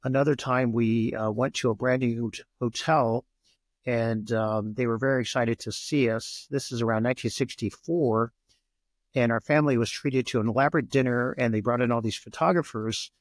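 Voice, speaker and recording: A man in his sixties speaks as a podcast guest, telling his life story at a steady, unhurried pace and stopping often to find his words. His voice sits at an ordinary middle pitch. The recording is dry and close, with hardly any room sound. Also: dry recording. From Japan. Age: 60s. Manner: measured, many "uh" pauses. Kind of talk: relaxed podcast interview.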